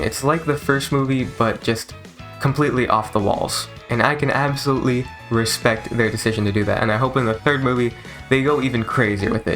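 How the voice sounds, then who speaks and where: A man's voice: medium pitch, fairly bright tone. A man giving a casual, rambling movie review in an informal, enthusiastic, sweary way, like a YouTuber. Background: video game soundtrack music.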